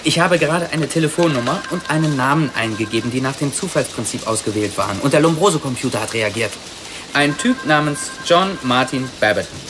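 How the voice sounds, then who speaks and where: An actor playing a police colleague who reports findings in a factual, conversational way in a radio drama. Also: male voice, medium pitch, expressive, brisk, over music, natural voice. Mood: eager, factual.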